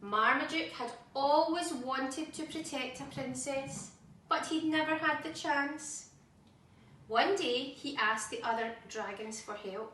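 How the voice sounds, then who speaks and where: A woman reading a children's story expressively and dramatically, with audible gasps and pauses. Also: high-pitched, bright, forceful tone. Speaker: storybook reader. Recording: very echoey room, distant mic.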